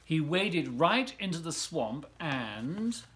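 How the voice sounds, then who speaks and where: Animated middle-aged man reading a children's story. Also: a little high, slow. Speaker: volunteer story reader. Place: living room at home, indoors.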